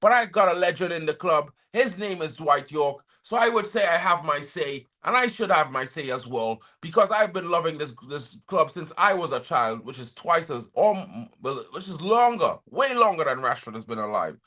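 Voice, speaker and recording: A man from Trinidad and Tobago running his own football fan channel, moving between reading news copy and blunt, chatty opinions aimed straight at his viewers. His voice sits high and sounds bright and forward. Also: animated, normal speed, hardly any pauses. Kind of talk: reading and reacting to transfer news.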